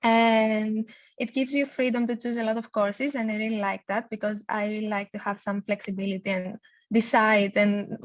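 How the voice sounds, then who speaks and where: A woman in her twenties from Greece, a master's student, answering questions eagerly on a video call. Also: mid-range voice. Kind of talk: casual self-introduction and answers. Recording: dull lo-fi call audio.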